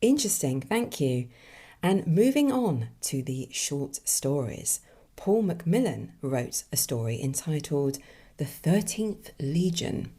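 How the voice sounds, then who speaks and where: A woman with a low, breathy voice and a mellow tone hosts in a musing, expressive way. Her intonation moves widely as she chats casually or reads stories at an unhurried pace. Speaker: podcast host and author. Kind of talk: casual solo talk with expressive story reading.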